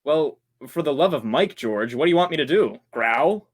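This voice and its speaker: A man's voice: a little high, expressive, normal speed, husky. A man acting in an old-time radio drama as a hapless, grumbling actor, stilted when he plays a murderer.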